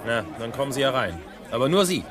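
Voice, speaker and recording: A man's voice: mid-range voice, very animated, quick pace, natural voice. An actor playing a polite, reserved male secretary in a radio play. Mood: formal. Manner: formal, reserved. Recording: loud crowd behind.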